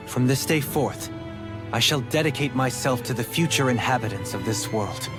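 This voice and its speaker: A thoughtful young nobleman voiced by a man speaks with earnest formality in a game cutscene. His voice sits on the low side, measured and dutiful, with clear music behind it. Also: lightly acted. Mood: sincere, resolved. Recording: prominent background music.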